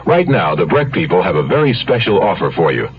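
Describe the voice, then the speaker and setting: A male radio announcer with a low voice and lively, swinging intonation. He reads a scripted commercial, and the old broadcast recording sounds dull. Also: a little fast. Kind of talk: scripted radio advertisement narration.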